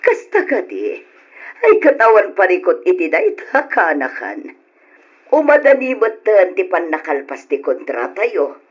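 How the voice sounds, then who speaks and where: An eager, generous auntie in an old radio drama, with a husky voice and animated, swooping delivery. The sound is dull and lo-fi. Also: medium pitch, measured pace, heavily acted. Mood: eager, persuading. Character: generous, eager auntie urging a quick wedding.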